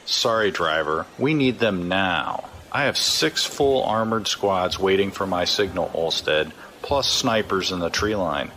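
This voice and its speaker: A man's voice: deep voice, lively intonation, measured pace, hardly any pauses, bright, forceful tone, a little hiss or hum, full character voice. A man playing a stern, menacing corrupt police chief, curt and commanding, in an audio drama. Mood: menacing, firm. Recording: music behind the voice.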